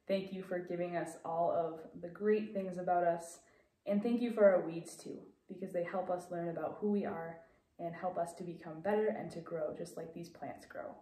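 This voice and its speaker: A middle-aged woman reading scripture and praying as the presenter of a children's message. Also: lowish voice. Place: small room indoors. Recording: echoey room, distant mic.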